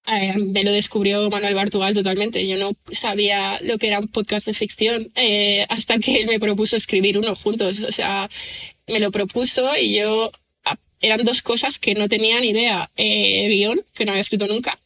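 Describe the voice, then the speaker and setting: Woman from chatting on a podcast, bright voice, kinda monotone, lots of um and o sea. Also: medium pitch, moderate pace. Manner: thoughtful, rambling with many fillers and restarts. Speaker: writer and screenwriter guest. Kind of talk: casual podcast interview.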